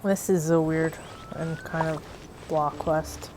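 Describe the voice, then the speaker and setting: A woman comments casually over a video game. Her voice sits a little low and her manner is offhand and unimpressed. There is faint noise behind her. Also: steady tone, takes it slowly. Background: game sound.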